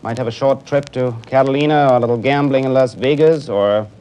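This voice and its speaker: Polite man, mid-pitched, measured answers. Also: mellow, clear, resonant tone, poor audio. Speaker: contestant, travel agent. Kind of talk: conversational interview answers.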